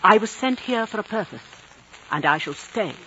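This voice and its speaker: An actress playing a prim, stubborn, pious female missionary in a 1950s radio drama, brisk, righteous and self-assured. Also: medium pitch, animated, bright, forceful tone. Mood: righteous, determined. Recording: dull, noisy old radio recording.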